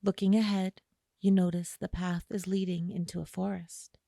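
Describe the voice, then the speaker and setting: Low, mellow woman guiding meditation. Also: level tone, creaky, no echo, clean studio sound. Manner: slow, soothing delivery with long pauses. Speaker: meditation guide. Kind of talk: guided imagery meditation narration.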